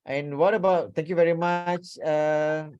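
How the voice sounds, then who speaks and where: A man's voice: fairly high pitch, fairly even intonation, a little slow, a little mellow, clean tone, dull audio, faint music behind. A man with a Malaysian accent teaching an interactive online seminar, repeating himself and checking in with participants as he goes. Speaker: lecturer, workshop speaker. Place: online video call.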